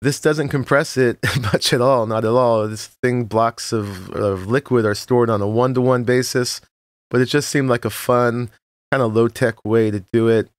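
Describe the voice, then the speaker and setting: A man with an ordinary middle-pitched voice, still a little froggy from the morning, talks a casual game tour. He rambles, fills gaps with "uh" and laughs now and then. The sound is dry and close, with no room around it. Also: normal speed, no echo. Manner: relaxed, rambling, with frequent "uh" and short laughs. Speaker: Minecraft let's-play host. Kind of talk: casual gameplay tour narration.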